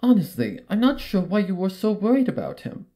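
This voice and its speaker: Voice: medium pitch, very animated, brisk, mellow, faint music behind, voice pushed up. A narrator voicing a refined, overly dramatic female pony seamstress with a gushing, genteel manner in a fan fiction reading. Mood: gushing, eerily cheerful.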